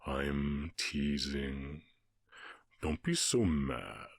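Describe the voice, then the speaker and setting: A man voicing a calm, commanding orc warchief in an ASMR roleplay, with a husky voice and a measured, condescending, teasing manner. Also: no room sound, clean studio sound, exaggerated voice. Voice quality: very deep, husky.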